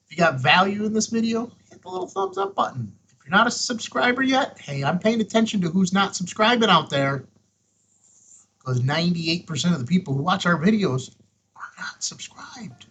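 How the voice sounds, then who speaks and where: A man's voice: on the high side, fairly bright tone, breathy edge, muffled recording. A man hosting a casual hands-on product review, talking in a folksy, emphatic way with short punchy phrases. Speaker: host of a ham radio review show.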